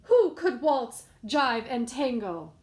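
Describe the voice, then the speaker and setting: A woman's voice: a little high, big pitch swings, takes it slowly. A woman reading a rhyming children's picture book aloud in a playful, sing-song storytelling manner.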